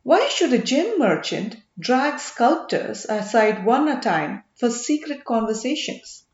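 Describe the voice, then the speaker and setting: A sneering, needling commander who mocks and probes, a middle-aged schemer , as a woman reads him. The voice sits a little high and carries a touch of room echo on a slightly muffled recording. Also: sing-song, slow. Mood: mocking. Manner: derisive, needling.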